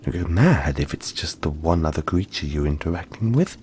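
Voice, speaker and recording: A man voicing a gentle, cuddly young yeti in a soft-spoken, hesitant, soothing roleplay addressed to the listener. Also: extremely low pitch, animated, fairly quick, slight background noise. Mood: fond, chatty.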